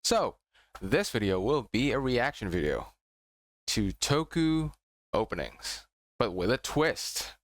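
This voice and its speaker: A man's voice: mid-range voice, very animated, slow, no echo, studio quality. A young man from Indonesia, Indonesian as his first language, chatting casually and nostalgically as a reaction video host, rambling with fillers.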